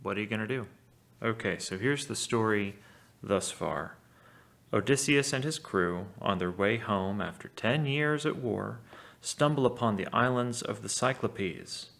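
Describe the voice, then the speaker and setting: Creaky-voiced man reading aloud. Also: on the low side, measured pace, fairly bright tone. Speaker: teacher reading a story aloud. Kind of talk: read-aloud storytelling with casual lesson commentary.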